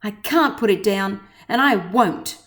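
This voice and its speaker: A woman's voice: medium pitch, expressive, measured pace, fairly bright tone. A woman doing a big, earnest elephant. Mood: resolute. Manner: earnest, pleading. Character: gentle, loyal, determined male elephant. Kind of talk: storybook reading.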